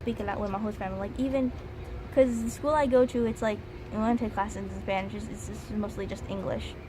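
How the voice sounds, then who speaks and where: A woman's voice: medium pitch, steady tone, fast. Young American woman, casual rambling vlog, noisy recording. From United States. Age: 20s. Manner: informal, rambling, self-aware. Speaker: study abroad student vlogger. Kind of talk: casual reflective chat to camera. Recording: clearly noisy recording with ambient background.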